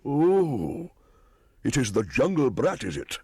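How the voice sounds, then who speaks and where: A man's voice: mid-range voice, wildly swooping pitch, slow pace, a little mellow, breathy edge, no room sound, clean studio sound, pitched above the performer's own voice, exaggerated voice. A man voicing a boastful, scornful old village hunter in a storybook reading. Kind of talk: storybook audiobook acting.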